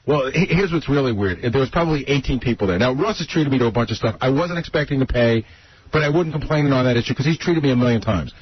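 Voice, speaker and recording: A man with a husky voice telling anecdotes in casual banter on a radio show. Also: medium pitch, lively intonation, quick pace, a little hiss or hum. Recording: dull band-limited radio recording.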